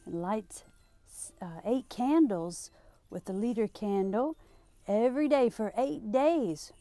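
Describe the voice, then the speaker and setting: A woman's voice: a little high, slow pace, warm tone, no echo. A middle-aged woman hosting a children's storytime, reading and chatting in a sing-song way, asking questions and answering them. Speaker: library storytime host.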